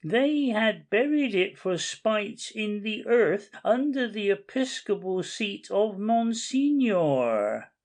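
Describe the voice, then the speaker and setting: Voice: mid-range voice, darkish tone. An audiobook reader playing a pedantic, tireless male cathedral guide, declaiming facts in a dramatic reading. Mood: eager. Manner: slow, declamatory recital of dates and facts.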